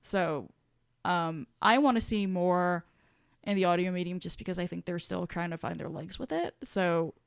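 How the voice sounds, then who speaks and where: A woman's voice: lowish voice, normal speed, vocal fry, smooth, no echo, clean studio sound. A woman co-hosting a podcast in casual discussion, talking in a rambling, self-deprecating way. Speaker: podcast co-host.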